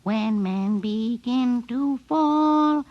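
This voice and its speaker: A woman's voice: on the high side, drawn-out words, highs cut off. A woman voicing a tiny, eerily gleeful male doll with a singsong manner in a 1950s radio drama. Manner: singsong, mechanical repetition.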